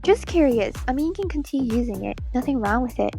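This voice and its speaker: A woman's voice: a little high, animated, fast talker, vocal fry, mellow, faint music behind. A woman with a mostly American accent, chatting casually and playfully on a livestream as she draws. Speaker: streamer, digital artist. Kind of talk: casual livestream chat while drawing.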